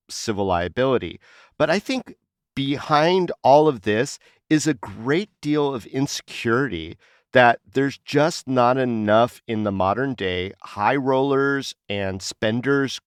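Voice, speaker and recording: A man's voice: medium pitch, smooth, no echo, clean studio sound. A man co-hosting a casual panel discussion, speaking in an opinionated way and posing rhetorical questions. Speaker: podcast co-host.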